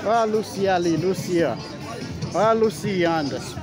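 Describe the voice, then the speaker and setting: A friendly man with a Northeastern Brazilian accent and a fairly high voice walks through an outdoor market, greeting vendors with blessings. His talk sits inside loud crowd chatter and heavy music from the stalls. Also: expressive, normal speed. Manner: friendly, points things out with repeated "olha aí, ó", religious greetings. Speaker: vlogger filming a street market. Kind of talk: casual walking vlog, greeting vendors. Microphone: handheld phone camera.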